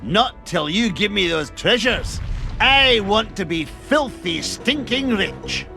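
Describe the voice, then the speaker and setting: Greedy duck baddie, gravelly, forceful, gloating and insulting. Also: male voice, high voice, lively intonation, takes it slowly, faint music behind, full character voice. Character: greedy gloating rival rich duck villain. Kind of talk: cartoon game villain acting.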